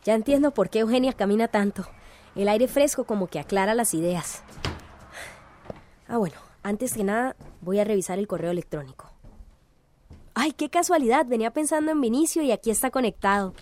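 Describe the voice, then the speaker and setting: An actress playing a cheerful, earnest community organizer in a radio drama. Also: female voice, on the high side, brisk, a little mellow, slight background noise, natural voice.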